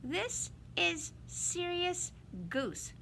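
A woman's voice: extremely high pitch, extremely slow, gravelly, pushed and bright, a little hiss or hum, pitched above the performer's own voice, full character voice. A woman voicing a friendly, chatty library mouse puppet in a children's story-time skit, with exaggerated, childlike delivery. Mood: cheerful introducing.

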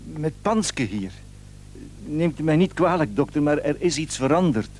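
A man's voice: fairly high pitch, animated, normal speed, darkish tone, slight background noise, natural voice. An actor playing an anxious, frightened husband who stammers and repeats his words in a radio play. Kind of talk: Dutch radio play acting.

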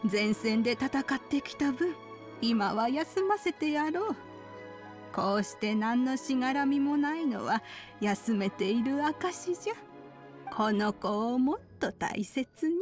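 A wise old woman from a fantasy game, gentle and unhurried. The voice sits a little high, with archaic, measured phrasing. Soft music plays behind it. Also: animated. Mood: gentle, reassuring. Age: elderly. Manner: measured, old-fashioned elder speech. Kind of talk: Japanese game voice acting.

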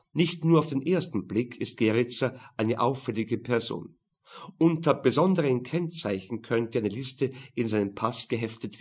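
Husky male audiobook narrator. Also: medium pitch. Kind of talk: scripted narration of a crime novel.

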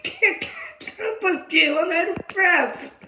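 Woman acting excitable high-pitched teenage schoolgirl, echoey room. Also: takes it slowly, slight background noise, heavily acted. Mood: breathless, cheerful. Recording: roomy, echoing home recording.

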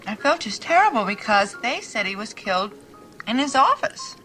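Coy, high-voiced, flirtatious schemer. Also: female voice, sing-song, normal speed, bright, forceful tone, soft background music, low-quality recording. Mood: coyly threatening. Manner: giggly, coy, hesitant. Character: coy, flirtatious, scheming woman shopkeeper, smitten and insinuating. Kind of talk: television film mystery acting.

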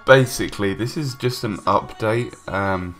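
Deep-voiced young man with a cold. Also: a little slow, a bit bright, soft background music. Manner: rambling, hesitant, many ums and restarts. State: stuffy nose from a cold. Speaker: gaming YouTuber. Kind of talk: casual voice-over commentary. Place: home room, recorded separately from gameplay.

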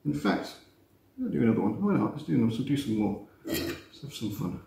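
A man, teaching a relaxed cooking demonstration in a chatty, self-mocking way with playful asides. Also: medium pitch, brisk, gravelly. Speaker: cooking instructor. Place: glass-walled kitchen room facing a garden. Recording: roomy echo, faint background noise.

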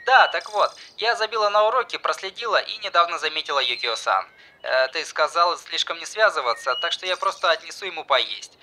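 A man voicing a chatty, naive schoolgirl in an audiobook, with a light, soft falsetto and hesitant manner. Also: lively intonation, a little fast. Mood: earnest, hesitant. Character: chatty, naive schoolgirl, earnest junior friend.

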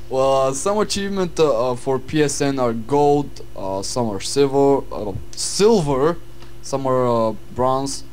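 A man's voice: mid-range voice, expressive, slow, darkish tone, a little hiss or hum. A young man with a Bulgarian accent co-hosting casual gaming commentary, laid-back and hesitant. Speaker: gaming channel co-host.

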